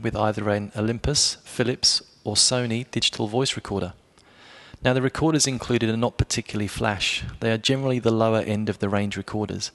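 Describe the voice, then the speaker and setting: A man reading a scripted explainer review as a blogger, in a measured way, speaking directly to the listener. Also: medium pitch. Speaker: blogger presenting a product review.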